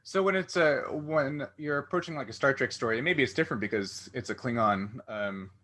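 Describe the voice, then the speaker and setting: A man with a mid-range, notably bright and forward voice hosts a casual interview. He feels his way toward each question in halting, circling phrases. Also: mid-range voice. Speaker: interview host.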